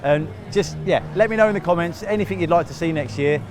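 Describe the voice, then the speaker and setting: A man's voice: fairly high pitch, quick pace. A man hosting a vlog at an outdoor event, chatting casually and encouragingly with craftspeople and finishing their sentences. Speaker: vlogger and event workshop host. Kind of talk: casual on-site interviews and vlog talk. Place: outdoors at a busy motor racing event. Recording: outdoor crowd noise. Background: crowd chatter.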